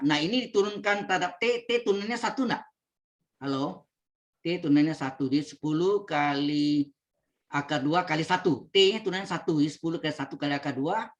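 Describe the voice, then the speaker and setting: Man lecturing math over a video call. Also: fairly high pitch. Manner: checks in with students, repeats steps. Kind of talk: online calculus lecture. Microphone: computer mic on video call.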